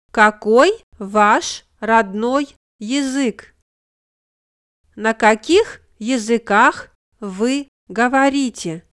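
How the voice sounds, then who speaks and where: A woman narrating a scripted language lesson, speaking model phrases slowly and with clear enunciation. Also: on the high side.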